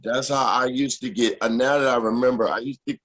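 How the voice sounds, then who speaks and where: A man in his forties, a guest on an interview show, telling stories about his childhood with animated storytelling. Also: medium pitch, brisk. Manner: animated storytelling with acted quotes.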